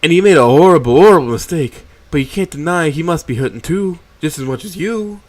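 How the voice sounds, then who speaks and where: Man voicing a male Tyrannosaurus boss, New York accent, high and bellowing, jolly, dismissive, storybook reading. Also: expressive, a little fast, lightly acted. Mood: stern. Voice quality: loud, bellowing. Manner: casual, dismissive. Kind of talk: reading a fan story aloud.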